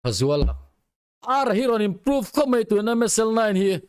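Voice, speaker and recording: A man's voice: high voice, sing-song, normal speed, clean tone. A man with a Mizo accent chatting casually as an interview guest. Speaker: interview guest, basketball player. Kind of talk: casual chat.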